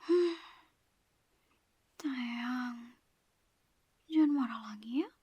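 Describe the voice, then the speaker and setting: Woman, soft coaxing whisper, slow, dry close mic. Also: a little high, breathy edge, dry recording. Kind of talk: whispered ASMR girlfriend roleplay.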